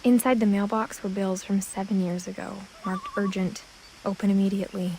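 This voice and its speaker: Woman voicing a teenage girl, video game narration, mid-pitched, quiet, reflective, measured, clear insect ambience. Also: steady tone, natural voice, low-quality recording. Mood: pensive, subdued. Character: reflective seventeen-year-old girl returning to her family house. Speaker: narrator protagonist. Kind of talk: scripted first-person game narration. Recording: clear outdoor nature sounds with insects. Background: ambient insects and nature noise.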